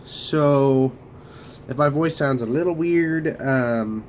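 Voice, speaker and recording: A young man hosting a casual room tour vlog of his bedroom studio, talking haltingly with many fillers and restarts while coming down with a cold. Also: medium pitch, slight background noise. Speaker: Lego channel host.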